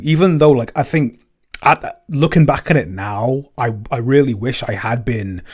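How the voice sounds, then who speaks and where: A man's voice: mid-range voice, darkish tone. A man chatting casually in a Q&A, hesitant and often repeating himself as he searches for words. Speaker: podcast co-creator, composer and episode writer. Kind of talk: casual Q&A chat.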